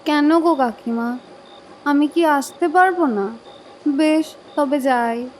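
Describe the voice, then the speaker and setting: A playful, confident young woman with a high, bright voice, played by an actress. She teases lightly and talks with easy warmth, with faint room noise behind. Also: takes it slowly, low-quality recording. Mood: teasing. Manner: teasing, lively, playful.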